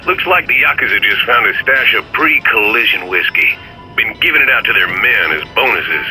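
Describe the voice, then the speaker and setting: An actor playing a shady, sardonic shopkeeper, sly and always dealing, in a video game. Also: male voice, lowish voice, lively intonation, normal speed, music in the background, close to an everyday voice, poor audio. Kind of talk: video game cutscene voice acting.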